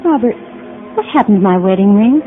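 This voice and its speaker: A gentle, earnest woman patient finally on the mend, played by an actress. She speaks softly and tenderly in 1940s radio drama style. The sound is thin and tinny like an old broadcast, with faint music underneath. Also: mid-range voice, lively intonation, a little fast, close to an everyday voice. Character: gentle woman patient leaving an asylum, recovering.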